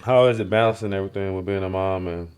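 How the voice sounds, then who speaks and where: A man with an Atlanta accent hosting a casual podcast interview, laid-back and quick to back up his guest with "yeah" and "mm-hmm". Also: low-pitched, steady tone, a little mellow, smooth. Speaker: podcast host.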